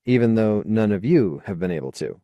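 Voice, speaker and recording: A man's voice: lowish voice, expressive, brisk, no room sound, highs cut off. A man voicing a wary, awkward, questioning male character in an audiobook. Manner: hesitant, questioning. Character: wary, awkward man, skeptical former idealist.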